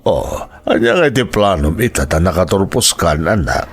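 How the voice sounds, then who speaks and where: A man playing a supportive middle-aged father in an audio drama, with a gravelly, husky voice and an expressive, affectionate manner. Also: low voice, normal speed. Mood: proud, curious. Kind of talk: dramatized family radio-style drama.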